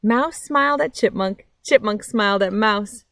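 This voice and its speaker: A woman's voice: medium pitch, a little slow, fairly bright tone, no room sound, clean studio sound. A woman chatting and reading a picture book aloud to children in a simple, friendly storyteller's way. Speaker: read-aloud host. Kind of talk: children's storybook read-aloud with casual chat. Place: outdoors by a meadow.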